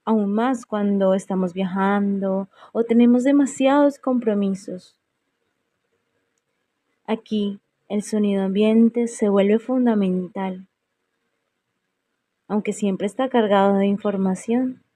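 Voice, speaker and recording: A woman leading a guided meditation in a serene, unhurried way, leaving long pauses between phrases. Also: mid-range voice, warm tone. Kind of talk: slow guided meditation narration.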